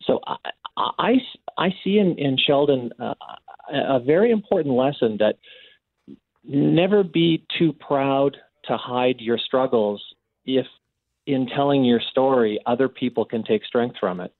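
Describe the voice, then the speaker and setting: A Canadian man gives earnest interview answers on radio in a mid-pitched voice. He is halting and doubles words for emphasis. The sound is dry. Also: dry recording, phone-quality sound. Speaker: interview guest, abuse survivor and advocate.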